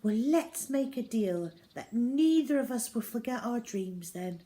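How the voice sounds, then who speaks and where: A woman's voice: on the high side, lively intonation, unhurried, mellow, voice pushed up. A woman voicing a plucky, determined young girl, earnest and insistent, in a children's storybook reading. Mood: encouraging.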